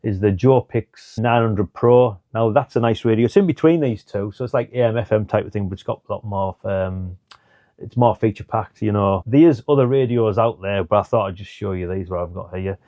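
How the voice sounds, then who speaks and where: A man's voice: lowish voice, brisk, warm tone, no room sound, muffled recording. A middle-aged British man giving a casual, chatty product rundown, thinking aloud in an informal way. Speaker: hobbyist product reviewer.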